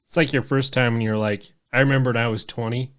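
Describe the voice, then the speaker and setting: A man's voice: medium pitch, steady tone, quick pace, clear, resonant tone. A man in his thirties hosting a podcast, talking in a loose, rambling way and swearing freely. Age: 30s. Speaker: podcast host. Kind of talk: casual podcast chat.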